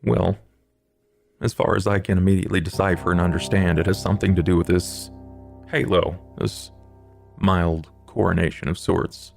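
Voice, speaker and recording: Man playing a deep, gravelly, formal vampire investigator. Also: steady tone, soft background music. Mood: grave, analytical. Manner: measured, halting, ornate formal wording. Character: Duke, formal, erudite male vampire investigator, coolly analytical. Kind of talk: tabletop roleplay in character.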